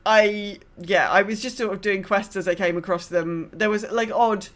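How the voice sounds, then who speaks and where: A woman's voice: mid-range voice, fairly even intonation, moderate pace, a bit bright. Rambling woman co-host chatting casually about games. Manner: rambling, self-correcting, quotes herself in asides. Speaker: podcast co-host. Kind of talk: casual chat about gaming.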